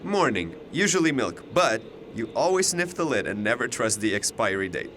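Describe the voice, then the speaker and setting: A man's voice: medium pitch, sing-song, moderate pace, fairly bright tone, slight background noise. A man playing a cocky, chatty young double who knows it all, with a glib, teasing way of talking in an audio drama. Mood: smug. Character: cocky, chatty, all-knowing alternate-universe male double of a student.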